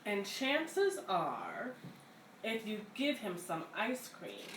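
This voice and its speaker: A woman's voice: medium pitch, wildly swooping pitch, slow, a bit bright. Woman reading aloud in an echoey room. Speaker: community center story-time host. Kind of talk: children's picture book read-aloud with casual introductions. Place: echoey room at a community center. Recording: echoey room, clear background noise.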